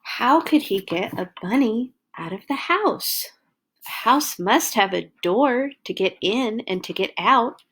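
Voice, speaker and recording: A woman hosting a read-along, reading a children's picture book aloud with animated, exaggerated emphasis for young listeners. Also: fairly high pitch, measured pace, slightly rough.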